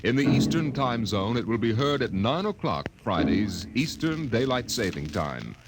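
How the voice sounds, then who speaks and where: A man narrating as a 1950s radio announcer in a grand, dramatic, measured manner. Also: mid-range voice, big pitch swings, heavy background noise. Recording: old radio broadcast over loud music.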